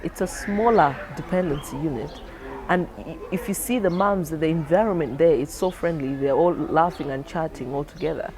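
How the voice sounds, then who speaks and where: A woman's voice: low-pitched, moderate pace, darkish tone. A woman clinician speaking conversationally as she explains things for a training film.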